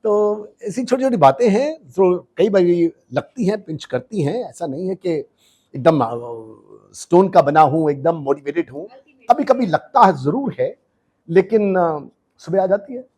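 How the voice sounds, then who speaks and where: A man, a business coach telling his life story in an interview, switching between Hindi and English with emphatic, animated delivery. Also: sits high, a little slow, husky. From India. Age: 60s. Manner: animated, emphatic, mixes languages.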